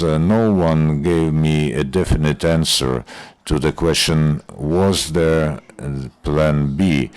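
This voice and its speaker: A man working as a simultaneous interpreter at a press presentation, speaking haltingly with frequent pauses and restarts. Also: a bass voice.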